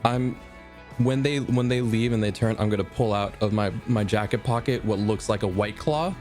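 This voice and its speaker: A man's voice: lowish voice, a little mellow. Hesitant male player, music faintly behind. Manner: hesitant, halting. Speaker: roleplaying game player. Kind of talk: improvised in-character tabletop roleplay.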